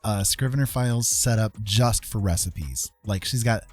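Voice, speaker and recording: A man's voice: fairly low pitch, moderate pace, darkish tone, faint music behind. A man co-hosting a livestream in casual, slightly hesitant chat, restating his phrases. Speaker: livestream co-host.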